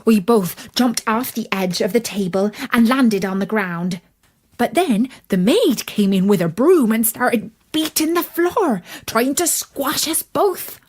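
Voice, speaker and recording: A woman storyteller reading a scripted children's fairytale in the first person, in an expressive, deliberate manner. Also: on the high side. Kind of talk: scripted fairytale narration in character.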